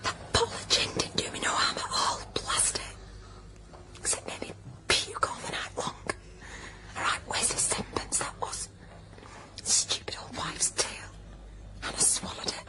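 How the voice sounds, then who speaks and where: An actress playing a bitter, defiant young pregnant working-class woman with a Northern English accent in a period radio drama, breathy and whispering. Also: unhurried, long pauses, bright, forceful tone, poor audio.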